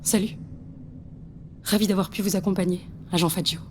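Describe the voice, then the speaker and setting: A woman's voice: on the low side, fairly even intonation, normal speed, a little mellow, a little hiss or hum, natural voice. A woman playing a principled female intelligence agent in a French audio drama, urgent and reproachful in manner. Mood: resigned. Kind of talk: French audio fiction acting.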